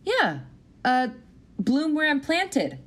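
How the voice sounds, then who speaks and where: A woman's voice: sits high, sing-song, slow pace, fairly bright tone, full character voice. A woman in her thirties playing an anxious, self-doubting new schoolteacher with a wry edge, in a naturalistic audio drama. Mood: earnest. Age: 30s. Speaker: actor in an audio play.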